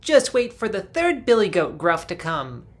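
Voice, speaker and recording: A nervous, middle-sized billy goat, as a woman narrator puts him on, pitched far above her own voice and fluttery. His pleading comes out loud and squeaky, as in a storybook puppet show. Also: big pitch swings, moderate pace, natural voice.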